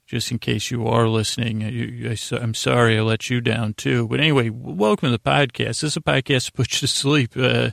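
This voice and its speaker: Meandering man with a creaky, flat voice. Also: mid-range voice, no room sound, clean studio sound. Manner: meandering, halting, self-interrupting. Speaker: podcast host. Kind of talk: rambling bedtime podcast monologue.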